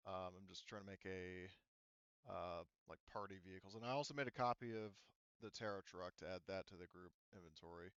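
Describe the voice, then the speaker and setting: Low, clear-voiced man running a game. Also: fairly quick, a bit bright, no room sound, dull audio. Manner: hesitant, thinking aloud. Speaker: game master. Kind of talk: casual tabletop game narration and rulings.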